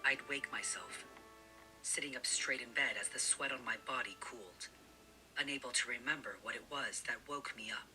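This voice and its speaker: A man narrates first-person fiction from a script in a very bright, crisp-edged voice. Music plays behind his reading. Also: mid-range voice, normal speed, poor audio. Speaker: audiobook narrator.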